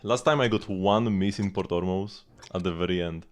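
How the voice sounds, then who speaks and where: A man's voice: low voice, normal speed. A man livestreaming a game, talking casually in a rambling, self-deprecating way over the gameplay. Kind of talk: casual live gameplay chat. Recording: game music behind the voice. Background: game music.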